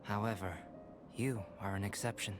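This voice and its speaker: A man voicing a calm, cerebral young alchemist in an anime-style video game, speaking in a measured, formal, detached way. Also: lowish voice, close to an everyday voice. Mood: matter-of-fact. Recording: music playing under the voice.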